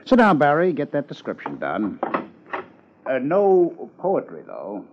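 Bookish, wry male cop, wordy and very expressive low voice, vintage radio drama with background hiss. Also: measured pace, exaggerated voice. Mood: businesslike, dry. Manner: urbane, wordy, drily teasing. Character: wry, bookish, college-educated male police lieutenant, teasing. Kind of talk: 1950s radio detective drama acting. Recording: muffled, noisy 1950s radio recording.

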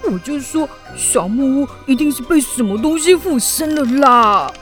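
A woman's voice: high voice, expressive, fairly bright tone, soft background music. A woman voicing a timid, easily spooked primary school child in a radio drama, hesitant and whining. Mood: nervous, convinced. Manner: hesitant, stammering, drawn-out whining.

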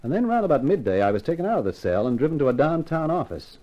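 Hardboiled private detective, swooping expressive delivery, punchy slang, weary defiance, 1950s radio serial. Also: male voice, on the high side, fast talker, highs cut off. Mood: rueful narration. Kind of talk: 1950s radio crime drama acting with first-person narration.

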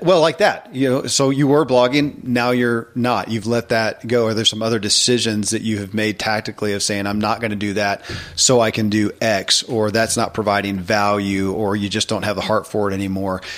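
A man's voice: fairly low pitch, fairly even intonation, normal speed, fairly bright tone. A man hosting a podcast interview conversationally, circling through long questions and clarifications for listeners. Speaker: podcast host and author.

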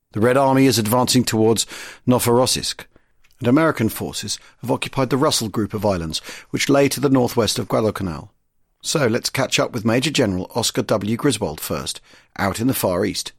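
A man presenting a history podcast, reading scripted narration in a husky voice. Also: lowish voice, no echo, clean studio sound.

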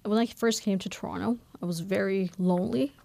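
Woman, thirties, first language Norwegian, rapid and animated, gravelly edge, close dry mic. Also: mid-range voice, no echo. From Bangladesh. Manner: fast, candid, animated with many fillers. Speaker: novelist guest. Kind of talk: conversational radio interview.

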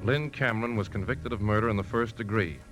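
A man playing a grave, remorseful accuser in a 1950s radio drama, earnest and somber in dialogue and first-person narration, with a gravelly voice. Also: fairly low pitch, fast, over music, close to an everyday voice, rough recording. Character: grave, remorseful man determined to expose a poisoner.

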